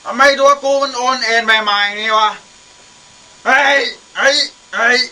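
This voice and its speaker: A man's voice: very high voice, fairly even intonation, normal speed, pushed and bright, heavily acted, low-quality recording. A young man acting the role of a father, a brash drinker who turns repentant, in a student radio drama. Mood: drunken, belligerent. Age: young adult. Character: drunken brash father, later a repentant gentle monk.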